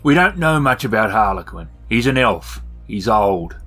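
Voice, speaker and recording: Male game storyteller, dry and matter-of-fact, animated pitch, casual role-play over soft music. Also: moderate pace. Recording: music bed behind the voice. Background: background music.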